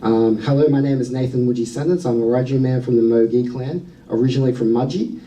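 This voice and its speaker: Australian man, public speaker, dark mellow tone, earnest and measured, distant mic. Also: medium pitch, steady tone, dull audio. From New South Wales, Australia. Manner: earnest, measured, with frequent "um" fillers. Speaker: speaker at a museum sector event. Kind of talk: prepared public talk. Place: event venue.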